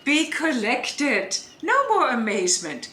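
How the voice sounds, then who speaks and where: A roomy echo surrounds a composed, protective mother , acted by a woman. The voice is a little high, slow and deliberate. It is reassuring Shakespearean verse for audio drama. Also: sing-song, sharp tone, full character voice. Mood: soothing, firm. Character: composed, protective sorceress mother soothing her daughter. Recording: roomy, echoing home online recording.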